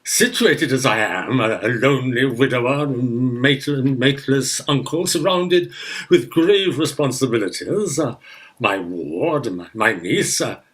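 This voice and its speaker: A man's voice: mid-range voice, animated, unhurried, a bit bright. A man playing a pompous older guardian uncle in a theatrical radio farce, with florid, hesitant speech. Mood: pompous delight.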